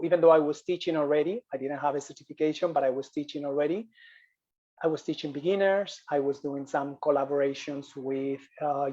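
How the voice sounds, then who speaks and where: Spanish-accented man, flat level voice. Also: on the high side, dull audio. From Spain. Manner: repeats and restarts phrases, says "you know" often. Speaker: guest, yoga business coach. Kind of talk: interview, telling his story.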